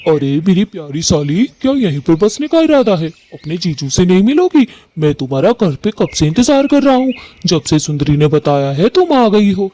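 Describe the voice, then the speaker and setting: A man's voice: high-pitched, big pitch swings, moderate pace, few pauses, warm tone. A man voicing an earnest, affectionate male bird who talks in a coaxing way in a cartoon story. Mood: fond, coaxing. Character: earnest, affectionate young male bird suitor and brother-in-law. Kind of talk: animated story dialogue.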